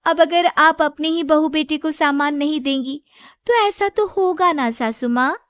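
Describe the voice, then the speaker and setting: Woman acting a high-pitched scheming young daughter-in-law. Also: measured pace, no room sound, clean studio sound. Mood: mocking. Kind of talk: Hindi moral story character acting.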